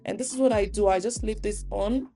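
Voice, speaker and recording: A woman with a dark, mellow voice, hoarse from a cold, talks through her makeup work in an easygoing, chatty way. Soft music plays faintly behind her voice-over. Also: mid-range voice, fairly quick. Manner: relaxed, chatty, with fillers. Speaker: makeup artist. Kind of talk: casual makeup tutorial voice-over.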